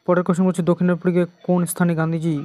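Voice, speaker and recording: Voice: mid-range voice, completely flat delivery, very fast, warm tone, no room sound. An exam coaching teacher with an Indian Bengali accent, methodically reading out quiz questions and answers and repeating them.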